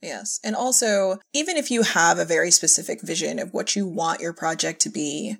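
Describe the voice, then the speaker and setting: A woman's voice: lowish voice, normal speed, gravelly. A woman in casual conversation as an audio drama director, encouraging and agreeable with a wry streak.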